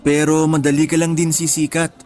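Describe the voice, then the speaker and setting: Man acting as a bashful young Filipino suitor, higher-pitched. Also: monotone, a little fast, darkish tone, soft background music, close to an everyday voice. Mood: smitten, teasing. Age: young adult. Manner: teasing, bashful, casual. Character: shy, smitten young man on a first date. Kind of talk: Filipino radio drama acting.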